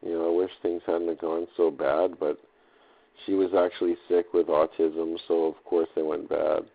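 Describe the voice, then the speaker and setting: A man's voice: low voice, flat delivery, normal speed, creaky. A man speaking in a hesitant, repetitive, loosely drifting way with laid-back slang, recording an unscripted audio diary in dull lo-fi sound. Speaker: audio diarist.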